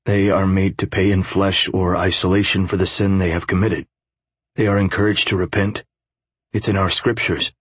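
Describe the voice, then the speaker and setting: A man's voice: low voice, flat delivery, normal speed, slightly rough. A man voicing an angry, defiant, foul-mouthed young man who snarls and demands in an audiobook story. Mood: confused, weary.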